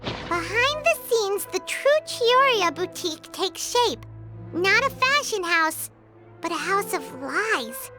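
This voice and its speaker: A woman voicing a small, excitable, childlike fairy girl companion in a video game, speaking emphatically. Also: very high-pitched, slow pace, music in the background, exaggerated voice. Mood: reading aloud.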